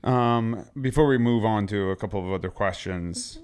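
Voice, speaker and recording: A man's voice: lowish voice, normal speed, a little mellow, smooth. A man hosting a podcast interview, asking questions and prompting with short backchannels. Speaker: podcast host.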